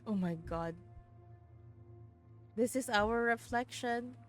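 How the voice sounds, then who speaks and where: A woman's voice: a little high, takes it slowly. A woman streamer, reading a visual novel aloud expressively and dramatically, with casual reactions. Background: background music.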